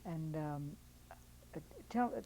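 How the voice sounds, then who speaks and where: A woman conducting a formal oral history interview, asking questions in a measured, polite way. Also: deep voice, animated, warm tone, a little hiss or hum, rough recording.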